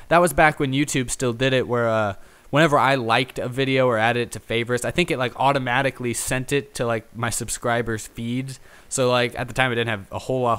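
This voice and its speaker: A young man with a mid-range voice that comes through clean and smooth. He talks casually and off the cuff as a solo host, wandering from point to point. His manner is chatty and self-deprecating. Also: medium pitch, steady tone, fast talker, a bit bright. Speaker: host of a YouTube racing talk show. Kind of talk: casual unscripted solo chat.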